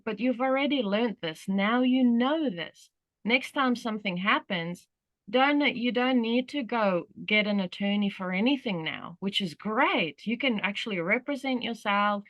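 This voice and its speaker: A woman's voice: mid-range voice, fairly bright tone, no room sound, low-quality recording. A woman from South Africa with an Australian accent, hosting a group call in casual, rambling talk full of "you know". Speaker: workshop host.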